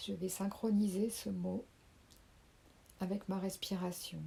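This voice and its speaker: A woman leading a guided sophrology relaxation, speaking softly and calmly and sometimes dropping to a whisper. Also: mid-range voice, gravelly, husky. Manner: slow, soft, calming, with whispered phrases. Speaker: sophrology teacher.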